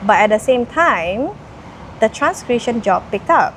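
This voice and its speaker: A woman's voice: fairly high pitch, very animated, unhurried, a bit bright, low-quality recording. A woman from Indonesia, answering interview questions in a chatty, explanatory way. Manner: chatty, explanatory, peppers talk with "you know". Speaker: interview guest, business co-founder. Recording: noticeable background noise.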